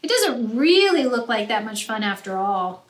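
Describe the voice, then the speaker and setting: A woman reading a picture book aloud to children like a teacher, with expressive, emphatic narration that draws out key words. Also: a little high, normal speed. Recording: roomy echo, faint background noise.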